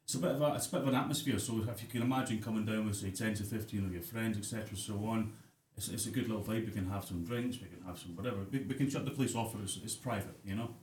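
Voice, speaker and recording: A man's voice: fairly low pitch, very fast, few pauses, darkish tone, roomy sound. A Glaswegian man, a barber and radio guest, chatting casually with many fillers and repeated phrases. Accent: Glaswegian Scottish. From Glasgow, Scotland.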